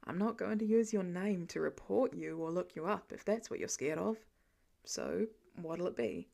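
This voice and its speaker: A woman narrator voicing a guarded, fatherly man in a story, gentle and casually gruff, with coaxing, clipped phrases. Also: lowish voice, expressive, moderate pace, voice pushed down, full character voice. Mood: soft, casual.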